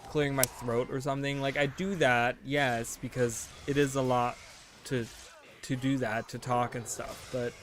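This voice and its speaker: A young man in his late twenties talks loosely while he plays a game on stream, his pitch sitting in an ordinary middle range. He wanders from thought to thought, fills the gaps with "like" and "you know", and corrects himself mid-sentence. A faint background hum runs under his voice. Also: little pitch movement, moderate pace. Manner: rambling, full of "like" and "you know"; thinking aloud, self-correcting. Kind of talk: casual chat while gaming.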